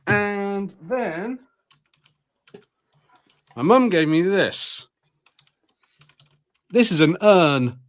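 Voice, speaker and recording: A man co-hosting a podcast, chatting casually in a halting, hesitant way. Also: high voice, lively intonation. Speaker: podcast co-host. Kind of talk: casual chat.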